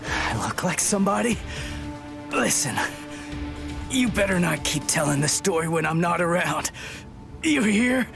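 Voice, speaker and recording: A woman's voice: on the low side, sing-song, measured pace, creaky, pauses often, music in the background, heavily acted. A performer voicing a wounded, stubborn, hot-headed teenage boy fighter in an anime game, strained and insistent. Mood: weak, defiant. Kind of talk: English anime game dub acting.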